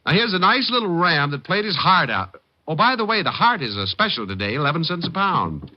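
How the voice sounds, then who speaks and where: An actor playing a cocky, wisecracking private detective posing as a butcher in an old radio drama, glib and sardonic. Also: male voice, a little high, big pitch swings, fairly quick, slight background noise. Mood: glib, mocking. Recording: dull lo-fi vintage radio recording.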